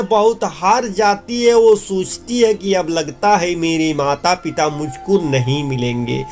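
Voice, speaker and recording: High-voiced male storyteller. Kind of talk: cartoon story narration.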